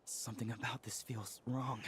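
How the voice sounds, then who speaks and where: A man's voice: mid-range voice, fairly even intonation, moderate pace, noisy background, lightly acted. A man playing a nervous, agitated secret experimenter in an anime-style video game, with a jittery, hesitant manner. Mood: anxious.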